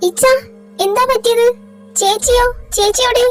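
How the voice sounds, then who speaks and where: A woman's voice: extremely high pitch, fairly even intonation, moderate pace, faint music behind, pitched above the performer's own voice. A woman voicing an anxious teenage girl, a little sister who pleads and teases in an audio drama. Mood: worried pleading. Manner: pleading, then teasing. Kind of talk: amateur audio drama voice acting.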